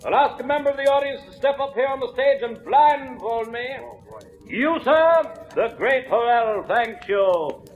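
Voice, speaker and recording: An actor playing a grand, commanding masked mind reader giving stage patter in a 1940s radio drama, on a muffled old recording. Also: male voice, very high-pitched, lively intonation, unhurried, faint music behind.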